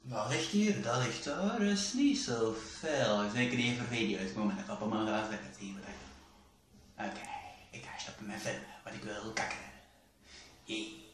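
A man's voice: lowish voice, expressive, normal speed. A young man, rambling playfully with many fillers while filming himself for his vlog on a handheld camera. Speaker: vlogger. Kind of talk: casual vlog chat. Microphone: handheld camera at arm's length. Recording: noisy handheld camera audio.

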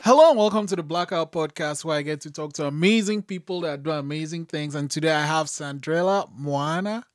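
A man hosting a podcast in a small studio, asking short questions on a boom mic. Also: fairly quick, few pauses, faint music behind. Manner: short prompts and backchannels. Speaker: podcast host. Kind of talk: casual interview chat. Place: small studio with red curtains. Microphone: studio mic on boom arm.

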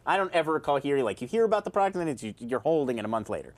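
A man in his thirties hosting a casual tech news chat in a studio, animated and gesturing, often restarting his sentences, on a lapel mic. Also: a little high, very fast. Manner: animated, hands moving, many restarts. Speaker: host. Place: studio with round table, dark backdrop.

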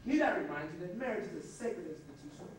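A woman's voice: fairly low pitch, big pitch swings, fast. A woman acting a pompous, reasoning wedding party member in an amateur stage play, taped from afar with noise. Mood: officious. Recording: noisy VHS of echoey hall, far off.

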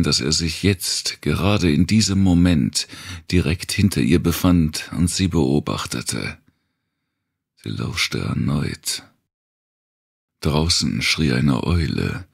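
Very deep, gravelly male audiobook narrator. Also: expressive, slow, no room sound, clean studio sound. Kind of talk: scripted dark thriller audiobook narration.

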